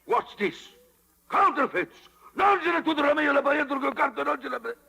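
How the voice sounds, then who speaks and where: Gravelly, bombastic Italian showman villain. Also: expressive, normal speed, bright, forceful tone, full character voice. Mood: furious. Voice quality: gravelly, booming. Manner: theatrical, bombastic, broken English. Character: greedy, bullying, boisterous showman puppeteer villain. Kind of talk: 1930s radio acting. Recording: vintage 1930s radio, reverberant hall.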